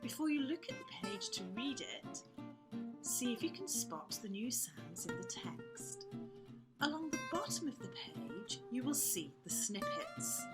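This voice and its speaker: A woman giving careful instructional narration as a teacher, explaining step by step. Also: mid-range voice. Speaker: teacher demonstrating a phonics reading method. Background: plucked guitar music.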